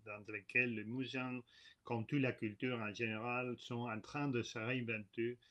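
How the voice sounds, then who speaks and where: Male guest lecturer, hesitant and full of fillers, crisp bright voice, speaking over a video call. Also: mid-range voice, little pitch movement. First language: not French. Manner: halting, hesitant, frequent filler sounds and restarts. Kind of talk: online conference lecture. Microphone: computer mic on a video call.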